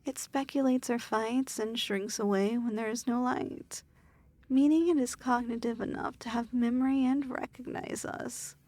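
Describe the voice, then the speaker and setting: A woman playing a weary, imperious demon lord in an ASMR fantasy roleplay, speaking formally and softly with measured diction. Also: a little high, steady tone, dry recording, natural voice. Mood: calculating.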